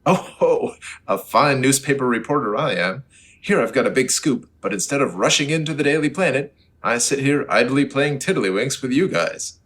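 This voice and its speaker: An earnest superhero played by a man with old-fashioned exclamations and a declamatory delivery. His mid-pitched voice swings widely, as in a comic radio serial. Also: lively intonation, moderate pace, a bit bright, close to an everyday voice. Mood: eager, heroic.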